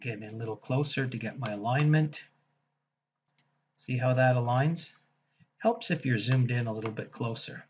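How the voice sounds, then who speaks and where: A man teaching software in a casual screen-recorded tutorial, thinking aloud as he clicks through each step. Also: medium pitch, moderate pace, long pauses, lo-fi sound, slight background noise. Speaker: software instructor.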